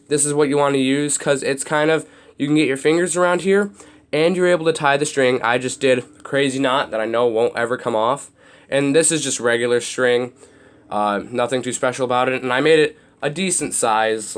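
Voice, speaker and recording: A young man with a slightly raspy voice, casually demonstrating a build step by step, rambling with restarts and fillers. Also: mid-range voice. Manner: loose, rambling explanations with many restarts and fillers. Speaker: hobby video host.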